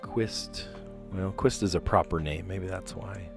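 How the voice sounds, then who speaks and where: A man's voice: low-pitched, measured pace, vocal fry, over music. A man in his forties, livestreaming himself solving word puzzles and thinking aloud, easily amazed and chuckling, close to a desk microphone at home. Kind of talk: casual livestream commentary. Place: home room, indoors. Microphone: close podcast mic on a desk.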